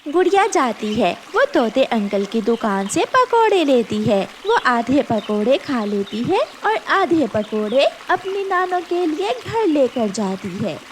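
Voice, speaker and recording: A woman's voice: sits high, sing-song, a little slow, hardly any pauses, slight background noise. A woman reading scripted narration for a children's animal story.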